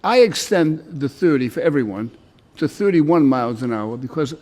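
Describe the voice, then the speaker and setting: Older guy judge in court, kinda high voice, chatty and teasing, repeats stuff back. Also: animated, moderate pace, darkish tone. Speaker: judge presiding in a municipal court show. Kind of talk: conversational courtroom questioning.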